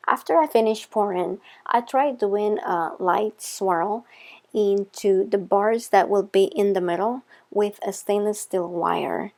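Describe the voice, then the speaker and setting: A woman with a Salvadoran Spanish accent narrates a step-by-step craft tutorial. Her voice sits a little low and has a noticeable creak. She sounds like a maker presenting her own scripted how-to. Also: a little mellow. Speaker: craft video host, soap maker.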